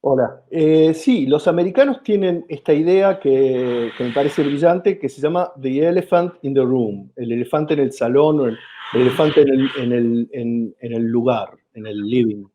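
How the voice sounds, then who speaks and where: A man's voice: medium pitch, normal speed, warm tone, a little hiss or hum. A man from Argentina with an Argentine accent, explaining his ideas as a comedian in an interview, with many hesitations and restarts. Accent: Argentine Spanish. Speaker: comedian guest.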